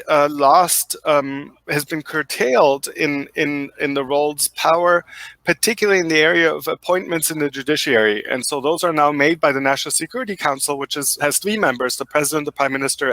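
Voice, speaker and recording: A man's voice: a little high, fairly bright tone, no room sound. A man with a German accent, giving thoughtful interview answers full of fillers and restarts. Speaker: guest expert.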